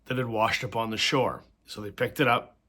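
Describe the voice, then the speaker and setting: A man with a bright, forceful voice talks casually to camera about his record collection. He is slightly hoarse from a cold and fills his stories with ums and uhs. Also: lowish voice, fairly even intonation, normal speed. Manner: conversational storytelling with frequent fillers. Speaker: host of a vinyl record channel.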